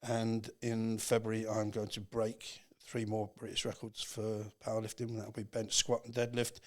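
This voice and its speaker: A man's voice: fairly low pitch, completely flat delivery, normal speed. A man in his sixties talking casually and plainly as a podcast guest, with emphatic phrasing. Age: 60s. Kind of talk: casual chat.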